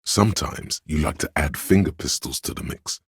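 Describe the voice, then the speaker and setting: A man voicing an inner encyclopedia for a video game, a grave, detached, erudite narrator who states facts in a measured, deliberate way. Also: rumbling low, breathy edge, no room sound, studio quality, full character voice. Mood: dry, informative.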